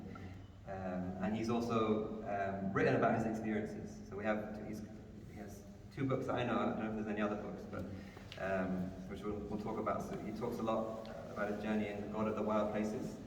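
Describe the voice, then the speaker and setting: A man hosting an event, informally introducing and interviewing a guest, haltingly repeating and rephrasing his phrases. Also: on the low side, little pitch movement. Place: large echoey room in a mosque. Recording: echoey hall, distant mic, faint noise.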